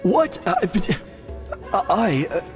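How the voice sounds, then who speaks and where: An earnest game priest with a fairly high, very animated voice. He is proud, dramatic and easily flustered, with a long reverb and music behind. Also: takes it slowly, exaggerated voice. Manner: stammering, earnest. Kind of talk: anime-style video game voice acting.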